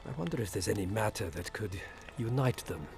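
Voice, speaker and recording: Low-voiced, guarded imperial ambassador. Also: male voice, lively intonation, gravelly. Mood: politely probing. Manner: formal, measured, insinuating diplomat. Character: suave, guarded middle-aged male imperial ambassador. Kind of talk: video game dialogue acting. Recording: music behind the voice.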